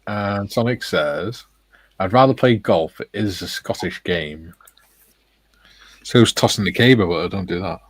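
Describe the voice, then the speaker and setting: Male stream host, low dry voice, jokey chuckling delivery reading chat aloud. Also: a little slow, no room sound.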